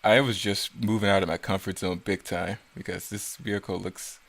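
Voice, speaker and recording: A man with a fairly low voice reviews his own work in an unscripted way. He rambles casually and picks at his own flaws as he goes. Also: fairly even intonation, normal speed, creaky, a bit bright. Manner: self-critical, rambling. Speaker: creator commenting on own work.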